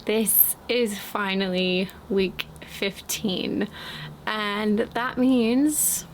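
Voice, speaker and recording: A woman in her late twenties, talking slowly and thoughtfully in a casual vlog with frequent ums, outdoors in the wind. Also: mid-range voice, fairly even intonation, rough recording. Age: late 20s. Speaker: vlogger. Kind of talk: reflective casual vlog talk. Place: outdoors, windy.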